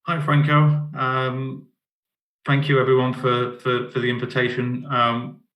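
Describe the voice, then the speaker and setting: A middle-aged man with an English accent, a managing director giving a conference talk over a video call, halting and often repeating his words. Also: mid-range voice, monotone, lo-fi sound. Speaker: managing director of partner company. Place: office in Cape Town. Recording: roomy echo.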